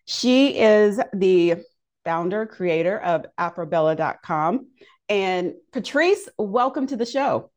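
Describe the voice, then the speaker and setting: A woman's voice: medium pitch, lively intonation, takes it slowly, darkish tone. A woman co-hosting a podcast, introducing guests in a chatty, informal way and laughing easily. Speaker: podcast co-host.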